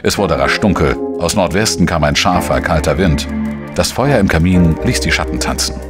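A man playing a dogged, wry investigator in a crime radio play, speaking and narrating in a deliberate, reflective way. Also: sits low, animated, over loud music. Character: dogged, wry male journalist-sleuth narrating his own case. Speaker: lead actor and first-person narrator. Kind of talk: German crime audio drama, narration and dialogue.

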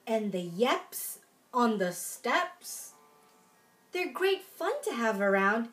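A young adult woman reading aloud at a children's storytime, expressive and sing-song, with little asides to young listeners. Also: fairly high pitch, slow, faint music behind. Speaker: children's storytime reader in princess costume. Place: small room at home, indoors.

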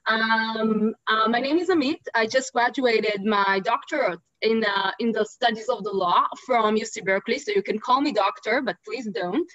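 A woman's voice: fairly high pitch, normal speed, few pauses, fairly bright tone, no echo. A woman in her thirties from Israel with an Israeli accent, upbeat and chatty, introducing herself on a panel over a video call. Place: home living room. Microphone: video call webcam. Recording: dull dry webcam sound.

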